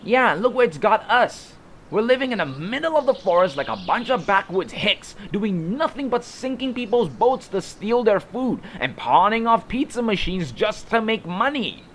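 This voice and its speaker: A man's voice: high-pitched, sing-song, moderate pace, a bit bright, slight background noise. A man playing a resentful, whiny fantasy creature in an audio drama, griping and blustering as a would-be mutineer. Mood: indignant.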